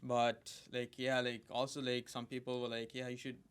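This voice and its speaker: Flat-toned smooth young man. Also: medium pitch, normal speed. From Sri Lanka. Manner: hesitant, many likes and uhs. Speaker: lead designer, guest. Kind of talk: casual interview answers.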